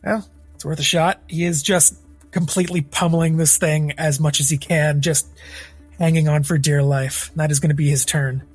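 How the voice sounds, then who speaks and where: A man playing a tabletop role-playing game, improvising his character's actions in the third person in a halting way. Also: fairly high pitch, fairly even intonation, breathy edge. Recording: background music under the voice.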